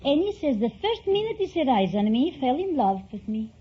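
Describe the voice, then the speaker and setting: A woman's voice: high-pitched, big pitch swings, brisk. An older woman from Greece with a Greek accent, telling stories as a contestant on a vintage radio quiz show. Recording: dull, faintly noisy vintage radio recording.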